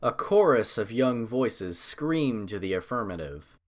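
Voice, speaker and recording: A man's voice: lowish voice, very animated, a little slow. A man narrating a scripted story with a dramatic storytelling manner.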